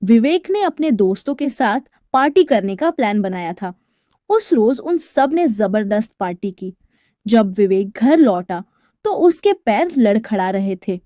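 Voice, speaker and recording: A woman's voice: fairly high pitch, very animated, a little slow, warm tone, no room sound. A woman narrating a scripted story in a dramatic storytelling style, recorded as a close voice-over.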